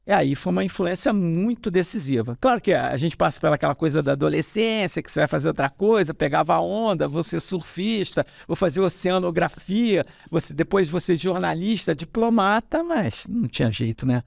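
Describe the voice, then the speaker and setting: Gravelly middle-aged man from Rio. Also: a little high, fairly quick, few pauses, no room sound, studio quality. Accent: Carioca Brazilian Portuguese. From Rio de Janeiro, Brazil. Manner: rambling, many fillers and restarts, animated when telling stories. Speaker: hematologist guest. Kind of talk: casual interview storytelling.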